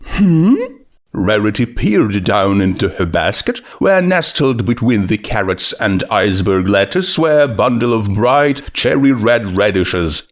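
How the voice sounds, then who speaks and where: A man's voice: fairly low pitch, lively intonation, measured pace, hardly any pauses. A man reading a scripted story aloud as its narrator.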